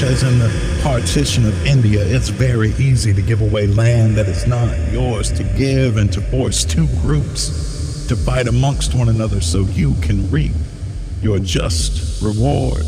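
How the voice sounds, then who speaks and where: Man narrating ominously in cavernous reverb. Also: mid-range voice. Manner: rhetorical, ominous refrains, pointed questions to listeners. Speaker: narrator and host of an audio drama feed. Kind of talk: scripted dramatic monologue narration. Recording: long reverb, clearly noisy ambient bed.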